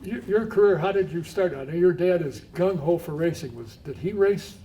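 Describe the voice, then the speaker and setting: A man's voice: on the high side, moderate pace, warm tone. A man hosting a radio show who interviews his guests chattily and chuckles often. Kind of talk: casual interview. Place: pub. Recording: distant mic in a noisy pub.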